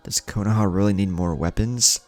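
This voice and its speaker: A man's voice: fairly low pitch, fairly quick, faint music behind, natural voice. A man voicing a curious, uneasy young male ninja with a questioning, awkward manner in an anime fan story. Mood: troubled.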